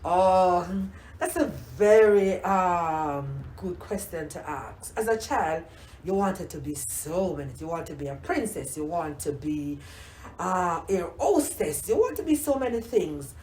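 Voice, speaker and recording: A woman's voice: lowish voice, animated, unhurried, reverberant, rough recording. An older grandmother from Jamaica with a Jamaican accent, reminiscing in a casual interview and often repeating herself. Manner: warm reminiscing, repeats words, many "you know"s. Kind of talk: casual oral history interview.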